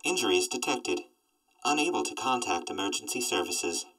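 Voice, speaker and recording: Low, deadpan, gloomy robot. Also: male voice, moderate pace, poor audio. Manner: deadpan, stiff, formal machine phrasing. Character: gloomy, death-wishing weather-forecasting robot. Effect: robot voice filter. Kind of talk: comedy audio drama acting.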